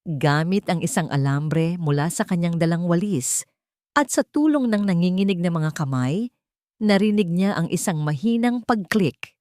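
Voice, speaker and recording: A woman's voice: lowish voice, animated, normal speed, warm tone, no echo, clean studio sound. A woman reading a scripted romantic suspense story as a dramatic narration.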